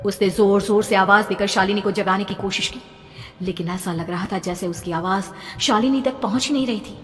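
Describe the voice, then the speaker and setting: A woman's voice: mid-range voice, fairly quick, a bit bright, faint music behind. A woman telling a horror story as dramatic scripted narration. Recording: long reverb, background noise.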